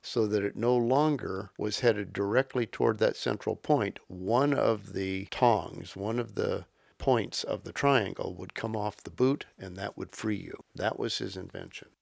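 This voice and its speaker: A man explains events step by step in a measured way. His voice is fairly low and gravelly, and it is recorded dry and close. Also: no room sound. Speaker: interviewee. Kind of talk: explaining history in an interview.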